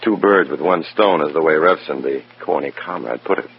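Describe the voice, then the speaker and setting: An actor playing a wry, bitter undercover FBI informant, sardonic and hard-boiled, in an old radio drama. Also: male voice, a bass voice, fairly quick, slight background noise. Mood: resentful. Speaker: narrator and protagonist. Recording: dull, muffled old radio recording.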